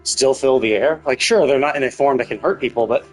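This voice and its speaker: Guy streaming a game, thinking out loud, medium pitch, faint music behind. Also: brisk, rough recording. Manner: speculative, repeats "like" and "right?". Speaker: game livestreamer. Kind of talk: casual live game commentary.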